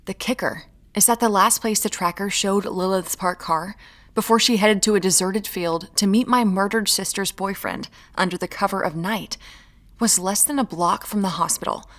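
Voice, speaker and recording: An actress playing a brisk, guarded woman amateur detective in an audio drama, deadpan and curt. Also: medium pitch, close to an everyday voice. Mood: dry, matter-of-fact.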